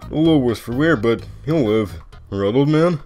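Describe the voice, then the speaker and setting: A man voicing a laid-back, sardonic skeleton with a casual deadpan manner and a veiled threat, for a cartoon comic dub. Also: medium pitch, normal speed, soft background music, natural voice. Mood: wry.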